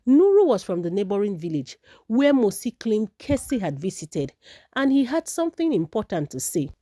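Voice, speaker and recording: A woman's voice: mid-range voice, very animated, moderate pace, dark tone, no echo. A woman narrating a folktale from a script as a storyteller.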